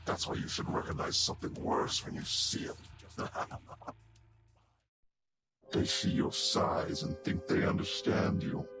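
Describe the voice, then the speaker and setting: A man voicing a taunting monster villain for a game character reel, with a breathy, whispery rasp and a mocking, theatrical delivery. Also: close to an everyday voice. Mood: gloating menace. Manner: slow, deliberate, mocking, theatrical. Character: sinister taunting male monster villain, menacing, patient and mocking. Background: prominent music.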